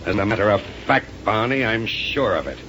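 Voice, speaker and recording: An actor playing a mocking, threatening space villain in a 1930s radio serial, condescending and menacing. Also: male voice, fairly low pitch, expressive, brisk, a bit bright. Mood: smug scheming. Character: sneering, threatening space villain with a rocket pistol. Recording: noisy 1930s radio recording.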